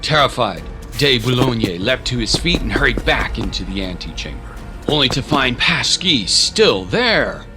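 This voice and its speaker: A man's voice: mid-range voice, sing-song, a little slow, hardly any pauses. A man narrating a dramatic story from a script, with music behind him. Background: clear music.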